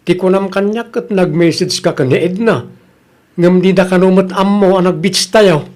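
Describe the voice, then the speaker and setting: An actor playing a blunt, grumbling middle-aged husband and uncle in an Ilocano radio comedy-drama, with a Filipino accent. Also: male voice, high-pitched, normal speed, darkish tone, close to an everyday voice. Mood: scolding.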